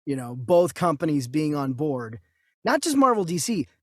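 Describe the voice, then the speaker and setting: A man co-hosting a casual discussion, reasoning through his opinions in a halting way and repeating himself. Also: fairly high pitch, sing-song, a bit bright, dry recording, clean studio sound. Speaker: co-host of a movie news show.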